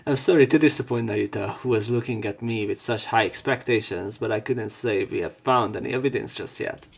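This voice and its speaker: A man's voice: on the low side, normal speed, creaky, breathy edge. A man reading a visual novel's text aloud, breaking off now and then for casual, jokey commentary. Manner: casual, jokey asides between read lines. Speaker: let's play narrator.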